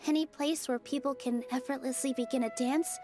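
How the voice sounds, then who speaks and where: Gentle high-voiced young woman played by an actress. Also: fairly even intonation, a little fast, natural voice. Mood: earnest, reminiscing. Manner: soft, sincere, reflective storytelling. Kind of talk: video game voice acting. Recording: game dialogue over clear music.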